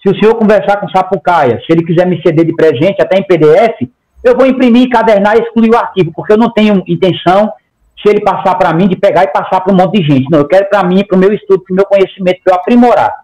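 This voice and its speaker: A man's voice: sits high, fast talker, a little mellow, rough recording. A man of about forty, a guest on a video-call interview, talking emphatically in long runs. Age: 40s. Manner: emphatic, gestures a lot, talks in long runs with repeated "tá?". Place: home room. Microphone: headset on a video call.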